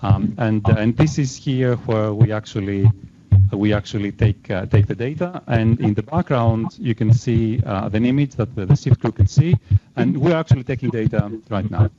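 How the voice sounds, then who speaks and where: A man's voice: lowish voice, normal speed, lo-fi sound, very noisy. A man guiding a live virtual tour of a control room, speaking hesitantly with many fillers. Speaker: tour guide at the experiment.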